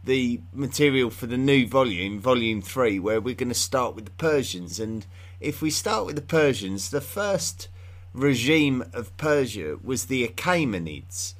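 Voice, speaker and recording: A man's voice: mid-range voice, expressive, unhurried. Rambling English man hosting a podcast. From England. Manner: rambling, with many fillers and restarts. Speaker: history podcast host. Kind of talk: unscripted podcast monologue.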